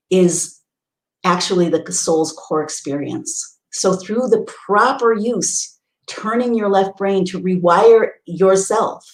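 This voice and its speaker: A woman with a low voice gives an earnest spiritual lesson, explaining ideas step by step and restarting phrases as she goes. The room around her rings with echo. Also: a little mellow. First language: English. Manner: earnest, explanatory, with many restarts and Hebrew readings. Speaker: teacher. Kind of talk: spiritual teaching talk.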